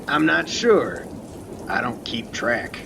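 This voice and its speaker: A man's voice: medium pitch, very animated, slow, vocal fry, heavily acted. A man playing a seasoned, wry lighthouse keeper in an amateur radio play, speaking in a dry, matter-of-fact way. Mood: pensive. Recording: noisy rain and storm ambience.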